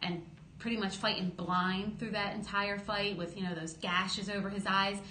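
Woman in her thirties, bright voice, lively storyteller, studio mic, roomy room, faint hum. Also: medium pitch, moderate pace. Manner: lively storytelling, gestures while talking, acts out quotes. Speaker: boxing promoter, guest. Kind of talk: animated podcast interview. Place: small studio office, indoors. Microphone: studio boom mic close up.

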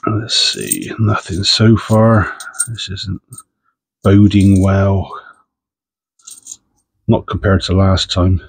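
A man's voice: low-pitched, little pitch movement, extremely slow, long pauses, slightly rough, no room sound, low-quality recording. A man with a head cold, sniffing as he chats casually while sorting through coins for his channel. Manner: chatty, understated, self-deprecating. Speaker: coin-hunting channel host.

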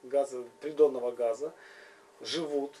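A man interpreting informally in an interview, phrase by phrase in a halting manner. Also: medium pitch, fairly even intonation, dark tone. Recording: slightly distant, faint noise and hum.

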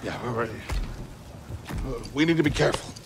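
An actor playing a weathered, talkative older alien scrapper in a video game, world-weary and fatherly, with a gravelly voice and halting, expressive delivery. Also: noisy background, lightly acted. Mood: shaken. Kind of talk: cinematic video game acting. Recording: game soundscape of rain and rumbling machinery.

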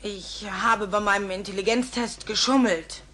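A woman's voice: medium pitch, moderate pace, a bit bright, natural voice. A woman voicing a cheeky, evasive ten-year-old schoolboy in a German cartoon dub. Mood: reluctant, glum.

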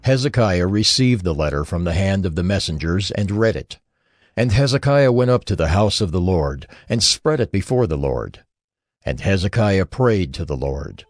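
A man's voice: deep voice, lively intonation, normal speed, dry recording, clean studio sound. A man narrating a dramatized scripture reading in a scripted storytelling style.

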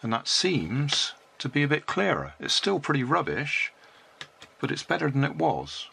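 An older British man narrating a hands-on repair in a casual, unhurried, matter-of-fact way. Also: on the high side, huge pitch swings, vocal fry, bright, forceful tone, husky. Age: 60s or older. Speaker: hobbyist repairing and reviewing a toy. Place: cluttered indoor hobby workbench. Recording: noisy room with clicks and handling sounds.

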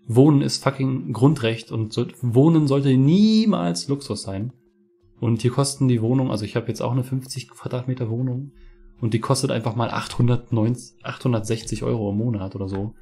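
A man's voice: mid-range voice, brisk, gravelly, warm tone, husky. A young man in his twenties from northeastern Germany, chatting casually and ranting over a game he plays, cursing freely. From Mecklenburg-Vorpommern. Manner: chatty, swears freely, rants. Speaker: gaming streamer. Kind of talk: casual let's play commentary. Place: home room with webcam. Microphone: headset.

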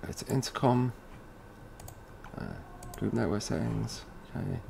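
A man with a fairly low voice presents an informal screen-recorded walkthrough. He talks casually, thinking aloud through each step. There is faint noise behind him, with some keyboard typing. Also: measured pace, gravelly, a little mellow. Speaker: tutorial presenter. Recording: noisy room with keyboard typing.

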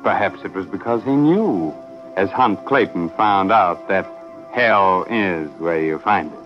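An actor playing an ominous, musing male storyteller in an old-time radio drama, measured and portentous with dramatic pauses. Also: deep voice, lively intonation. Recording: muffled old radio recording with loud music.

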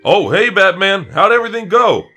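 A man plays a helpful advisor to heroes in a game roleplay. His voice is bright and rough-edged, with lively rises and falls over a music bed. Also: high voice, normal speed, exaggerated voice. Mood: helpful, cautioning. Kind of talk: improvised Minecraft roleplay.

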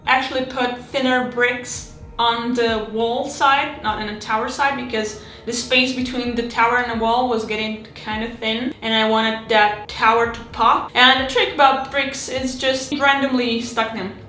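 A woman in her thirties casually narrating her hobby build, chatty and halting, with many restarts and fillers, recorded at home on a desk camera mic. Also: a little high, fairly even intonation, sharp tone, reverberant, soft background music, rough recording. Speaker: miniature terrain hobbyist.

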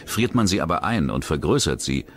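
A man giving a measured, grave scripted narration for a crime documentary. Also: deep voice, very animated. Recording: voice-over over loud music.

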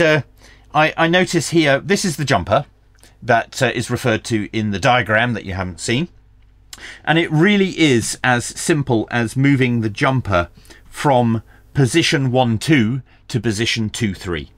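Animated man giving a hands-on tech review. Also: mid-range voice, measured pace, no echo. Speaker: radio review channel host. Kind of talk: hands-on product review and tutorial narration. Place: small indoor home workshop.

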